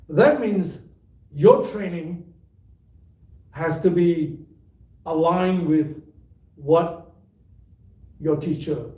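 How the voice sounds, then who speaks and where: A tai chi teacher with a mixed Malaysian and British accent, giving an unhurried, rambling livestream talk full of fillers. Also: male voice, fairly high pitch, dark tone. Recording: echoey room, voice from far off.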